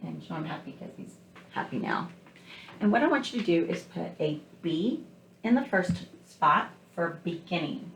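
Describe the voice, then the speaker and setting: A woman's voice: on the low side, lively intonation, moderate pace, vocal fry, roomy sound, slight background noise, low-quality recording. A woman speaking as a kindergarten teacher, asking questions and explaining simply and patiently, step by step, to young children.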